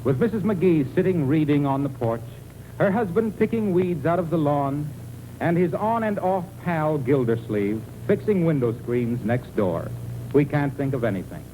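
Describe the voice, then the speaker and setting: A man's voice: fairly high pitch, lively intonation, normal speed, slight background noise, rough recording. An American man reading a scripted old-time radio show introduction in a polished, declamatory announcer's style. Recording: vintage radio broadcast with loud music. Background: loud music.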